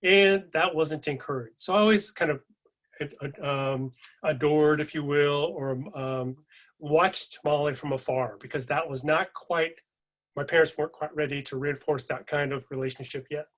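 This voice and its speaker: A man's voice: fairly high pitch, fairly even intonation, moderate pace, fairly bright tone. Older man giving a reflective keynote. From California, USA. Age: about 69. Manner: reflective, personal storytelling with fillers. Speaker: keynote speaker. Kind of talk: conference keynote talk.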